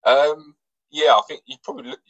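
A man's voice: fairly high pitch, brisk, no echo, muffled recording. A man, a footballer from England, talking casually in an interview with lots of fillers and restarts. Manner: relaxed, hesitant, many fillers and restarts. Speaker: footballer guest.

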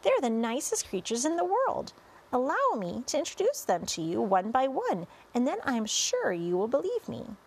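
A woman playing a small boy in a storybook, cheerful, polite and excited, with exclamatory, singsong rhyming delivery. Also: on the high side, normal speed, creaky, slightly rough, voice pushed up, exaggerated voice. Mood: proud, showman-like.

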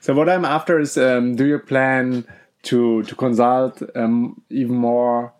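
Podcast host, man, mid-pitched, warm agreeing manner, asks easy questions. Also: steady tone. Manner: friendly, encouraging, quick to agree. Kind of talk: casual podcast interview.

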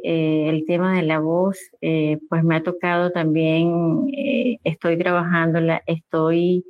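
A woman talks in a slow, soft, halting way, restarting her sentences as she looks for words. Her voice sits low for a woman. The sound is dry and close, with no room around it. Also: dry recording, lo-fi sound. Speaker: social audio room participant. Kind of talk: casual chat.

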